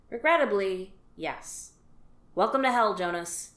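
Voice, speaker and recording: A woman voicing a weary, sarcastic alien office worker in an audio drama, with a dry, deadpan delivery. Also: medium pitch, slow pace. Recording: roomy echo, faint music.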